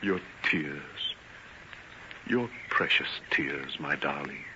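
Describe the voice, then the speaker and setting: An actor playing an ardent, tormented, wryly teasing doctor in an old-time radio drama, with theatrical, genteel diction. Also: male voice, rumbling low, takes it slowly, heavily acted. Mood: anguished, tender. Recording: dull, faintly noisy vintage radio recording.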